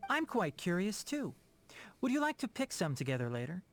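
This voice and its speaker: A man voicing a knowledgeable, courteous young forest ranger in a video game, measured and articulate. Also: sits high, wildly swooping pitch, soft background music, full character voice. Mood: curious. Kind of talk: video game character acting.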